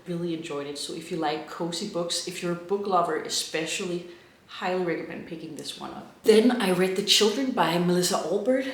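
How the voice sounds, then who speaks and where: A man's voice: on the high side, a bit bright. A man from Denmark with a Danish accent, chatting enthusiastically as he reviews books in a casual vlog. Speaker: book vlogger. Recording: echoey room with faint ambient noise.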